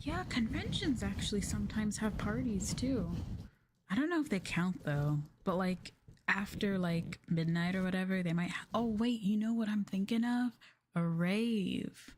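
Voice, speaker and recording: A woman talking softly and breathily, often near a whisper, in an unhurried, musing ASMR livestream chat with viewers. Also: medium pitch, slight background noise. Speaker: VTuber livestream host. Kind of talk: ASMR soft-spoken casual chat.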